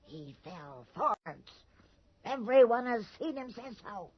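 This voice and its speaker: A woman's voice: on the high side, very animated, takes it slowly, slightly rough, no echo, full character voice. An actress playing an anxious, gossipy rural townswoman in a 1980s radio horror drama, speaking in a folksy, ominous way. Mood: ominous warning. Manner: folksy ungrammatical speech, hushed and ominous. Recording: dull lo-fi radio drama recording.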